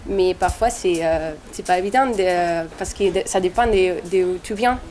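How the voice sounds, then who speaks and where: A woman's voice: on the low side, moderate pace, noisy background. A young woman from Spain, a student answering interview questions in a hesitant way with many restarts. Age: young adult.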